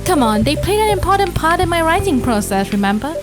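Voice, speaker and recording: A woman's voice: very high-pitched, sing-song, quick pace, over loud music, pitched above the performer's own voice. A woman voicing an eager, sentimental writer who is a coffee shop regular in a visual novel. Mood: fond, eager.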